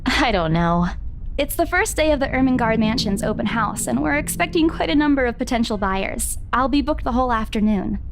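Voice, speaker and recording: High-voiced, feisty young woman. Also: expressive, fairly quick, gravelly, noisy background. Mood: casual. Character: feisty, easily flustered young professional woman. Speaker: game voice actor. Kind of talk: visual novel game voice acting.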